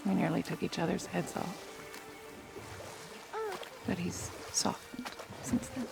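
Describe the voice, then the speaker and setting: A woman playing a gentle, wry Norse warrior mother in a cinematic video game, speaking tenderly and with measured, soft teasing. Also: lowish voice, creaky, heavily acted. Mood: warm, wistful. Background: music.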